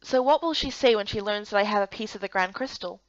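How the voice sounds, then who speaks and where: A woman's voice: mid-range voice, fast, dry recording, lo-fi sound. A woman reading scripted first-person narration from a visual novel. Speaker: narrator and lead character reader.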